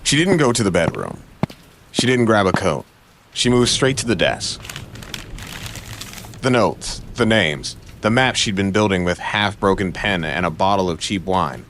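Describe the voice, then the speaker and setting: Male noir storyteller, very low register, brooding and clipped, dramatic audio drama read over faint rainy ambience. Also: animated, measured pace, slight background noise. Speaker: narrator.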